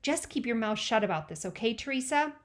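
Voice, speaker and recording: An earnest young teenage boy, as a woman reads him, slightly flustered and kind. The voice sits a touch high. An audiobook character, barely put on. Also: expressive, a little fast, close to an everyday voice. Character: awkward, well-meaning young teen boy.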